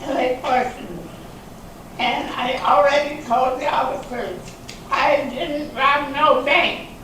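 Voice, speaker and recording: A defensive, flustered woman suspect, acted by a woman in a stage reading. Her voice is fairly high and slow. It comes from far off in a very echoey, noisy room. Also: fairly even intonation, fairly bright tone. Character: defensive, flustered woman suspect, night-shift respiratory therapist. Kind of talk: amateur readers theater reading. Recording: very echoey hall, distant mic, noisy.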